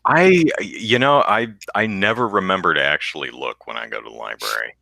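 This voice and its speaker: A man with a deep bass voice chats loosely on a podcast, stumbling through restarts as he goes. His tone swings widely and has a strikingly bright, forward edge. The sound is dry and close. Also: animated, a little fast, creaky, no echo. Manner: rambling, self-interrupting with restarts, sings low demo notes. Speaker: podcast co-host. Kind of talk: casual chat.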